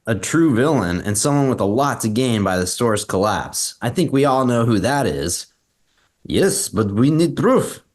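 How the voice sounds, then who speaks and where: A man plays a male amateur sleuth in a scripted read. His voice is medium in pitch, and he is earnest and inquisitive. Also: very animated, unhurried. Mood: worried. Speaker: actor in a table read.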